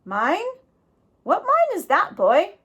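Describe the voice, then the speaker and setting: A woman's voice: very high-pitched, wildly swooping pitch, slow pace, fairly bright tone, lo-fi sound, voice pushed up, heavily acted. A woman voicing a big, jovial reformed outlaw man who talks in folksy backwoods grammar in a storybook reading. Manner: drawling backwoods grammar, chuckling.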